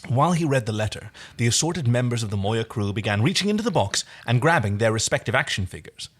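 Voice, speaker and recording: A man's voice: medium pitch, very animated, fast, breathy edge. A man hosting a fan fiction reading, moving between story narration and casual chatty asides. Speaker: podcast host reading fan fiction aloud.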